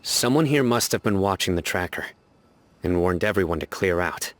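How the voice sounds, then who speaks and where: An actor voicing a stern, composed young warrior in a game, speaking in a measured, serious way. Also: male voice, low-pitched, lively intonation, slight background noise. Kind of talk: game cutscene voice acting.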